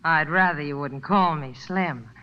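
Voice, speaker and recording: An actress playing a cool, sly young drifter with a deadpan delivery in 1940s-style radio drama. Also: female voice, deep voice, unhurried. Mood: cool, defiant. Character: cool, sly, self-possessed young American drifter woman. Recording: muffled, hissy old radio recording.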